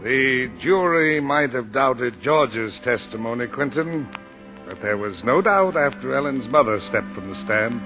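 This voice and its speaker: An actor playing a reflective lawyer recounting a trial in 1940s radio acting, on an old recording. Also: male voice, mid-range voice, expressive, unhurried, highs cut off, over music, close to an everyday voice. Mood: somber reflective.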